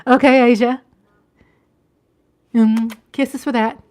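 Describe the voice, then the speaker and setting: A woman's voice: fairly high pitch, fairly even intonation, slow pace, darkish tone. A woman in her fifties hosting a livestream, chatting casually to viewers and often repeating herself or checking in with them. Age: 50s. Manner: conversational, repeats phrases and checks in with "you know". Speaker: livestream host.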